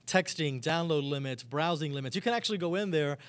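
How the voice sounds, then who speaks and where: Fast-talking male TV reporter, enthusiastic. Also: a little high, lively intonation. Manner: enthusiastic, chatty, informal. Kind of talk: live upbeat on-location segment with interview.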